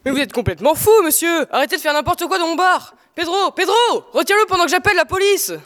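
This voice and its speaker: A woman's voice: extremely high pitch, quick pace, a bit bright, long reverb tail. A woman playing a flustered, exasperated bartender in an audio drama, hesitant and exclamatory. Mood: alarmed, indignant.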